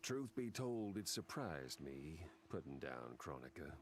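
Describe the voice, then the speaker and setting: A man's voice: extremely low pitch, very animated, measured pace, slight background noise, full character voice. A man playing a cocky, laconic gunslinger with an American Western drawl in a video game monologue. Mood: self-satisfied. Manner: cocky, folksy. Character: cocky, laconic male Wild West gunslinger. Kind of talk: video game character narration. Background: music.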